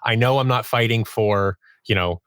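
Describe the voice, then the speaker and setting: A man's voice: fairly low pitch, normal speed, fairly bright tone, no echo. A man giving a conversational interview as a podcast guest, telling stories about his creative work and acting out bits of quoted dialogue. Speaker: podcast guest, audio drama producer and sound designer.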